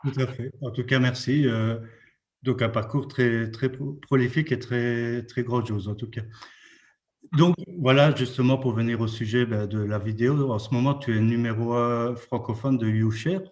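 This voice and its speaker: Host: man, mid pitch, polite, halting questions. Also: fairly even intonation, darkish tone. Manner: polite, hesitant with fillers. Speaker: interviewer, channel host. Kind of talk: interview questions.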